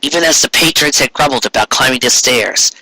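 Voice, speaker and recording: A man reading a story aloud as narrator, with expressive, earnest delivery. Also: mid-range voice, fairly quick, no echo, rough recording. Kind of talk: scripted fan fiction storytelling.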